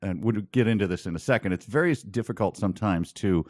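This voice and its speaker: Low-voiced man bantering on a podcast. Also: quick pace, darkish tone, no room sound, clean studio sound. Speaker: podcast co-host. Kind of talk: casual chat, bantering with co-host.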